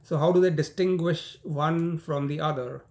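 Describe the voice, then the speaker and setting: A man with an Indian accent teaching a university law class, his voice sitting a little higher than most men's. He talks in a loose, chatty way, full of "you know" and false starts, as he walks students through the material. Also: fairly even intonation, normal speed, a little mellow. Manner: conversational, frequent "you know" and "um", repeats words. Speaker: law lecturer. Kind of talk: recorded university lecture.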